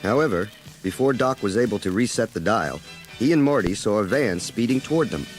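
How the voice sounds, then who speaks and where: A man narrating a children's read-along story in a dramatic, expressive storytelling manner. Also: lowish voice, brisk, faint music behind.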